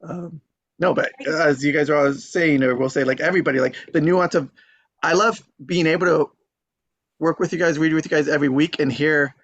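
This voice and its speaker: Higher-pitched man with gravelly, husky edge. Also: fairly even intonation, a little fast, a bit bright, no room sound, muffled recording. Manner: rambling, informal. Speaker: table read participant. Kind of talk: casual group chat.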